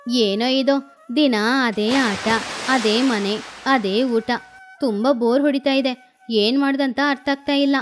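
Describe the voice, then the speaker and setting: A woman's voice: high voice, fairly even intonation, slow, slight background noise. A woman voicing a bored, restless young boy crow in a cartoon story, complaining.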